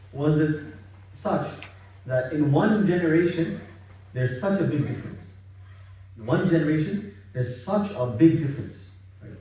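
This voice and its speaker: Male religious speaker, warm dark tone, conversational and repetitive, recorded off-mic in a very reverberant room. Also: fairly high pitch. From Bangladesh. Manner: conversational, repetitive, seeking agreement with tag questions. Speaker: Islamic study circle speaker. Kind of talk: informal religious talk. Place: gathering in a private house. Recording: echoey room, distant microphone.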